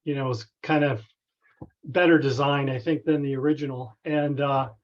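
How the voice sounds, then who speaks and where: A man on a conference call casually explaining a technical problem in a halting, tentative way. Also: medium pitch, fairly even intonation. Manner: halting, tentative, circles back. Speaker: club member asking a technical question. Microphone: conference call connection. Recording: dull, band-limited conference call audio.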